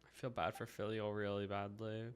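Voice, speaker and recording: A woman's voice: a bass voice, level tone, normal speed, clean tone. A woman giving casual, slangy live reactions to a video game in exasperated asides. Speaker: reaction streamer.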